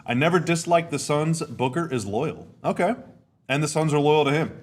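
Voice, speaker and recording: A young adult man, a bit hoarse and raspy, hosting a casual live stream from home and talking freely with his viewers. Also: fairly high pitch, moderate pace. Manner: chatty, reads chat comments aloud. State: hoarse, dry sinuses. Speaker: podcast host. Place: home room.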